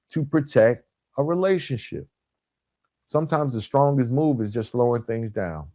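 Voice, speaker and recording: Low-voiced man giving an emphatic motivational talk. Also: a little mellow, no room sound, studio quality. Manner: emphatic, instructional, with deliberate pauses and stressed key words. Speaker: podcast host. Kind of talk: motivational self-help monologue.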